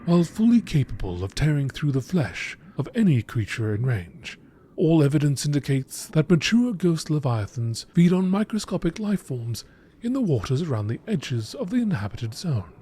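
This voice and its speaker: A man reading game lore entries as scripted nature documentary narration, in a measured, formal, deliberate manner. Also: on the high side, big pitch swings, mellow, slightly rough.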